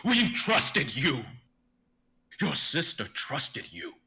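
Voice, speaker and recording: Bitter, scrawny old immortal doctor voiced by a man, bright and sharp, slow and swinging in pitch. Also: big pitch swings, gravelly, full character voice. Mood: furious. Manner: furious, accusing. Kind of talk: live dramatic script reading.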